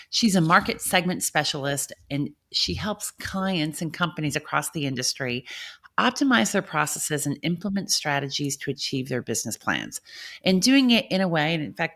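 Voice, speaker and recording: A woman's voice: low voice, fairly bright tone. A woman hosting an interview podcast, upbeat and affirming with her guest. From grew up on a farm. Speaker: podcast host.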